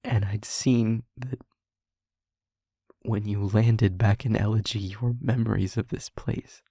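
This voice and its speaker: A man playing a guilt-ridden demon lover in an audio roleplay, confessing tenderly and in anguish in a halting, breathy, husky hush. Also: fairly low pitch, fairly even intonation, no room sound, studio quality, full character voice. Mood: anguished, confessional. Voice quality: breathy, husky, often whispering. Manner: halting, hushed, dramatic pauses. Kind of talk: intimate audio roleplay monologue.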